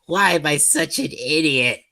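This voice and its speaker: A man's voice: on the high side, lively intonation, unhurried, sharp tone, full character voice. A man playing a sulky, self-deprecating, clumsy young male student in an audio drama, whiny and hesitant. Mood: sheepish, grumbling.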